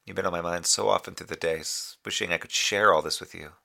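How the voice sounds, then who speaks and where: Deep, gravelly moonbase officer. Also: male voice, steady tone, fast, sharp tone, exaggerated voice. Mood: tender. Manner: precise, formal, lyrical, somewhat fussy. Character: earnest, formal male moonbase communications officer, quietly wistful. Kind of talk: audio drama monologue as a transmitted report.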